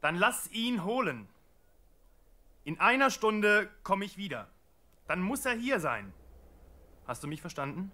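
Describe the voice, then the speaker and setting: A man's voice: high-pitched, sing-song, measured pace, pauses often, pushed and bright, heavily acted. An actor playing a brusque, impatient king's servant giving orders in a biblical radio play. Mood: commanding. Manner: commanding, impatient. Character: brusque, imperious male servant of a tyrant king. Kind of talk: German radio play acting.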